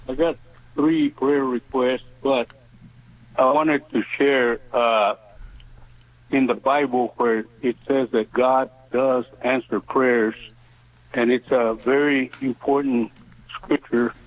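A man in his seventies calling in to a radio show, speaking earnestly in halting, deliberate phrases over the telephone. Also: medium pitch, fairly even intonation. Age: 70s. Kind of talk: earnest phone-in testimony and prayer request. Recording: narrow tinny phone line.

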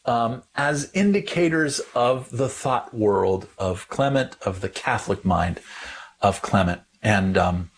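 A man on a podcast panel teaching conversationally about religion, deliberately repeating words for emphasis. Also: on the low side, lively intonation, slightly rough.

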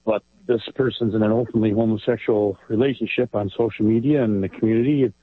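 A man calling in to a radio show, speaking in a hesitant, halting way. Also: on the low side, steady tone, breathy edge, dry recording. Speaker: caller. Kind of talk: phone call to a radio show. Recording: tinny phone line.

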